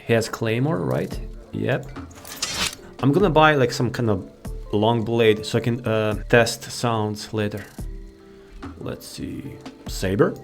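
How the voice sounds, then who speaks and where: A man's voice: lowish voice, takes it slowly, gravelly, music in the background. A man giving casual, rambling gameplay commentary, laid-back and thinking aloud. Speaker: gaming video creator.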